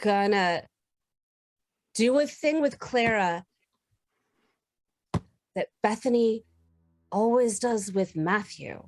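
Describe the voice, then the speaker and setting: A woman's voice: mid-range voice, lively intonation, takes it slowly, pauses often, no room sound. A woman playing a tabletop game, casually describing what her character does.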